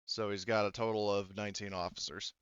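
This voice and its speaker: A man with a low, smooth voice running a tabletop game. He explains casually and haltingly, on a slightly dull, lo-fi microphone. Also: little pitch movement, fairly bright tone, dry recording. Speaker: game master.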